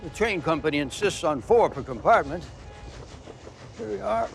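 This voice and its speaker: A man's voice: a little high, animated, moderate pace, gravelly. A man playing a jovial Polish priest. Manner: chatty, chuckling, teasing. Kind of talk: period film drama.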